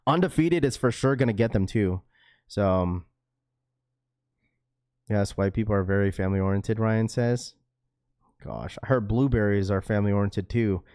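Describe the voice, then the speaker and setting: A man's voice: on the low side, moderate pace, long pauses, a little mellow, clear, resonant tone, dry recording, studio quality. A laid-back man hosting a livestream and chatting casually with his viewers, his talk full of "bro", "dude" and "like"; he is a parent with a school-age daughter. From Washington state, US. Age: young adult to middle-aged, a father of a schoolchild. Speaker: livestream host reading chat.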